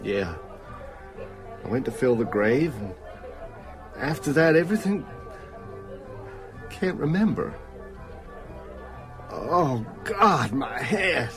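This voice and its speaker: An actor playing a sick, frightened small-town working man in a radio drama, speaking in a weary, halting, dazed way. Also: mid-range voice, very animated, music in the background. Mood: weary, defensive.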